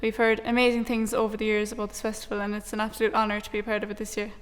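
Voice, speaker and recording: A woman's voice: mid-range voice, monotone, racing, in a large hall. A young Irish-speaking woman from Connemara with a Connemara Irish accent, thanking the organizers from a stage in a friendly, teasing way. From Conamara, Ireland. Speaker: traditional musician.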